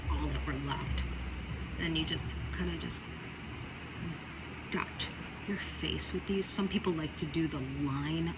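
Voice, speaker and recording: A woman talking casually through a budget makeup tutorial, chatty and rambling with repeated warnings. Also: low-pitched. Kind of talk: casual how-to chat. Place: home, indoors by a window. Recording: loud vehicle noise behind.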